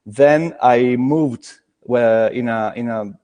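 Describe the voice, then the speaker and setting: Halting man with reverb. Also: medium pitch, a little mellow, highs cut off. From Italy. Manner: halting, many restarts and fillers. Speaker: craft brewer, guest. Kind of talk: casual video call chat.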